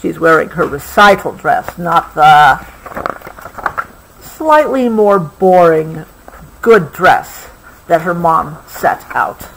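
A woman reads a children's picture book aloud. Her pitch moves in animated swings while the pace stays leisurely. She is patient and stops to clarify words as a parent would. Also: a little hiss or hum, poor audio. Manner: patient, explanatory, pausing to clarify. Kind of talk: expressive storybook read-aloud with explanatory asides.

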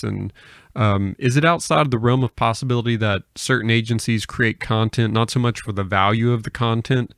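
A man hosting a podcast interview, putting long, measured questions in a casual way. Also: lowish voice, a bit bright, smooth, no room sound, studio quality.

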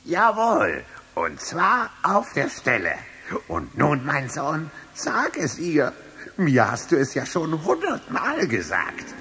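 An actor playing an excitable, doting old king in a children's audio play. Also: fairly high pitch, slow pace, full character voice. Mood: commanding.

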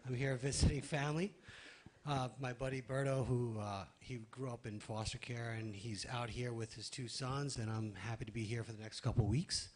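A man's voice: mid-range voice, steady tone, normal speed, slight background noise. A man from New York with a New York accent, speaking casually to a church congregation on a handheld mic. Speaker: visitor sharing with the congregation. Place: church sanctuary.

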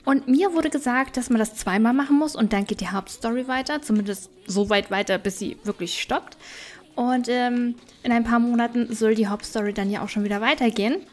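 A woman's voice: on the high side, a little fast. A woman gaming YouTuber giving casual, chatty let's play commentary, thinking aloud as she plays. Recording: music behind the voice.

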